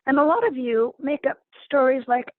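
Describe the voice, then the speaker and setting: Hoarse woman speaking on a dry call. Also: high voice, expressive, moderate pace, no echo, phone-quality sound. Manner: gentle, repeats words while finding them. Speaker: guest facilitator. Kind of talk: informal lecture to an online group.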